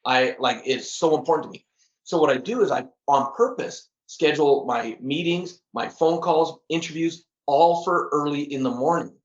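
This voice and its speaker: A man's voice: a little high, moderate pace, highs cut off. A middle-aged man speaking earnestly as a podcast guest, often repeating words and laughing at himself. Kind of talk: casual interview chat.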